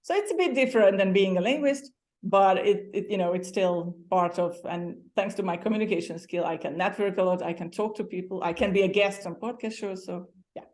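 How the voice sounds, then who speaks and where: A woman's voice: mid-range voice, a little fast, darkish tone. A woman from Hungary with a Hungarian accent, a guest chatting casually in an interview, laughing often and restarting her sentences now and then.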